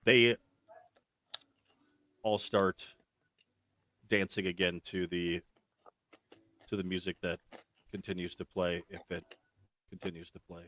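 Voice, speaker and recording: A man running a tabletop game as game master, narrating in a relaxed, unhurried way with fillers. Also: low voice.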